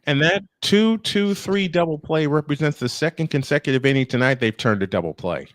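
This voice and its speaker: A man's voice: fairly high pitch, animated, a little fast, no room sound, studio quality. A man calling a baseball game live as play-by-play host, slipping between commentary and casual chat.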